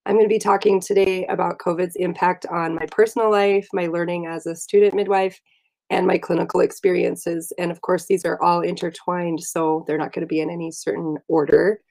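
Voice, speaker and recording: A woman's voice: lowish voice, flat delivery, a little fast, vocal fry, darkish tone. A woman with a cold, speaking on a video call as a student on a panel. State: has a cold. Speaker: nursing student. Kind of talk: panel talk.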